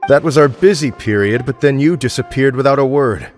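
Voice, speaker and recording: A man's voice: medium pitch, brisk, faint music behind, natural voice. A man voicing a formal office administrator. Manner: formal, polite, businesslike. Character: formal middle-aged male office administrator, stern but fair. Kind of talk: game voice acting.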